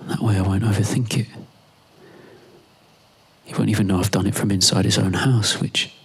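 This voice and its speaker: Very husky, lowish-voiced man, halting monologue. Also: steady tone. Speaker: performer. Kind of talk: performed spoken monologue, conversational.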